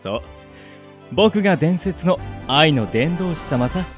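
A man's voice: fairly high pitch, animated, slow, soft background music. Man acting pompous, swooping legendary love evangelist. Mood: smug. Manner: grandiose, theatrical, self-satisfied. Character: pompous, self-adoring male legendary love evangelist of the trains. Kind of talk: comic amateur radio drama acting.

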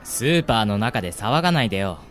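A man's voice: on the low side, very animated, quick pace, fairly bright tone, soft background music. A man acting a sociable, slightly weary young guy with a casual, mildly complaining manner in a radio drama.

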